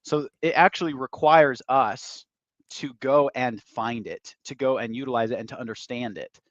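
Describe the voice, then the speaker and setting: A man's voice: medium pitch, moderate pace, dry recording. Earnest man on a dull, dry line. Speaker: podcast guest host. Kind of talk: casual podcast conversation.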